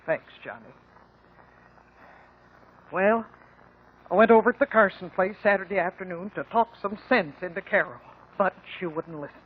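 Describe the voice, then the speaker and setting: An actress playing a rural American older mother confessing in halting, folksy speech in a radio drama. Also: mid-range voice, husky, natural voice. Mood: resigned confession. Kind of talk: 1950s radio drama acting. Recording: muffled 1950 radio recording.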